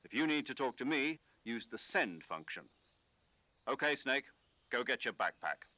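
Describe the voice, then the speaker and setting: An actor playing a calm, authoritative military commander, giving measured, scripted mission briefings in a video game. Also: male voice, medium pitch, dry recording. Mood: instructive.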